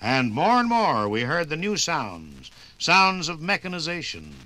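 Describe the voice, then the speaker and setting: A man's voice: on the high side, big pitch swings, measured pace, very noisy. A man giving emphatic, dramatic scripted narration for a 1950s radio documentary.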